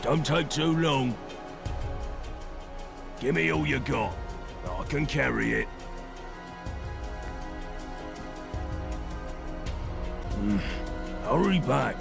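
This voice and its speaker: Slow-talking, awkward gravel-voiced brawler. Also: male voice, mid-range voice, music in the background. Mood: gruff, eager. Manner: hesitant, plain-spoken, stumbling over words. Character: insecure young male brawler, awkward and earnest. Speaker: video game companion character. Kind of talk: game voice acting, halting heartfelt dialogue.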